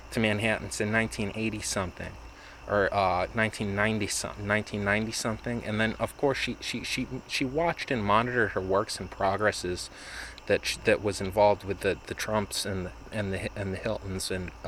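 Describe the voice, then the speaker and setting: Man, vlogger, rambling monologue, lowish pitch, bright tense tone, halting restarts. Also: slight background noise. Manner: meandering, halting, many restarts and repeated words.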